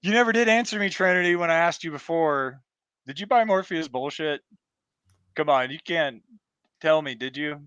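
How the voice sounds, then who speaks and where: A man's voice: fairly high pitch, expressive, moderate pace, fairly bright tone, clean tone, dry recording. A man playing a gloating, sneering traitor in a radio play reading, taunting his victims in a theatrical, slangy, mocking way.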